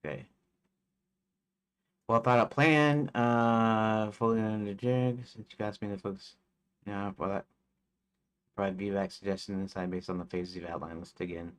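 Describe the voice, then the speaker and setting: A man's voice: fairly low pitch, fairly even intonation, normal speed, long pauses, smooth. Rambling man in his forties. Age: 40s. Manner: rambling, hesitant, many fillers and restarts. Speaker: game developer streaming his work. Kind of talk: casual live-stream chat.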